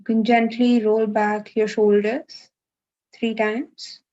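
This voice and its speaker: A woman leading a live guided meditation, giving gentle, unhurried instructions with long pauses, over a video call. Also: a little high, flat delivery, dull audio.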